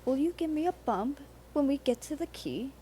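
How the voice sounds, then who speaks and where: A woman's voice: high voice, expressive, normal speed, mellow, a little hiss or hum, voice pushed up. A woman acts out a conceited little steam engine from a children's storybook. He is cheeky and full of himself, with a raised voice and a playful, showy manner. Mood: scheming. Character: cheeky, boastful young male steam engine.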